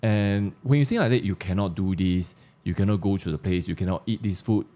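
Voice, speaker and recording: A man with a very deep, mellow voice and a Malaysian accent. He talks informally, as in a podcast discussion. His phrases come out haltingly, with words repeated while he works out the thought. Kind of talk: casual discussion of social issues.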